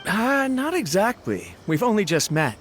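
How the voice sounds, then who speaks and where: Chatty friendly young townsman, a bit high, lively tone, game music under. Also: unhurried, a little hiss or hum, exaggerated voice. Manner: polite, chatty, speculative. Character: friendly young male townsman, polite gossip. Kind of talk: video game dialogue.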